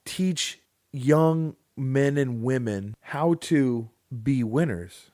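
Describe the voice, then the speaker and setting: A man co-hosting a podcast in casual chat, opinionated and thinking out loud with fillers. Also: medium pitch, extremely slow, no room sound, studio quality. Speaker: podcast co-host.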